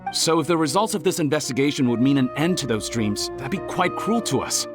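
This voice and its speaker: A man plays an ordinary townsman in a video game, with a medium-pitched voice. He is polite yet stubborn, hesitant and pleading, with music clearly behind him. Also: animated, a little fast, close to an everyday voice. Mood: reluctant, pleading. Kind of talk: video game cutscene acting.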